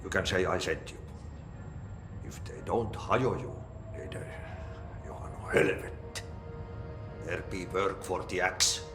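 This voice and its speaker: A man playing an odd, gruff Finnish janitor in a video game, speaking terse broken English with a Finnish accent, deadpan. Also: low voice, slow, pauses often. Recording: roomy echo, music underneath.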